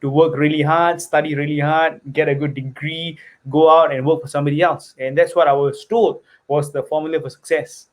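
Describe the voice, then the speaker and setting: A man's voice: a little high, fairly even intonation, a little fast, hardly any pauses. A young man from Singapore, earnestly telling his life story as a podcast guest and often checking in with "right?" and "you know". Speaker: guest investor. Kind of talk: personal story in conversation.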